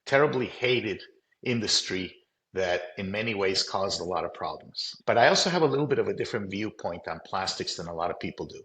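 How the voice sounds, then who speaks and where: Middle-aged man, low voice, American accent, practical and emphatic interview guest, roomy sound. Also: expressive, a bit bright. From Colombia. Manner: practical, emphatic, measured explanations. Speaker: company founder, guest. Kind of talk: conversational interview.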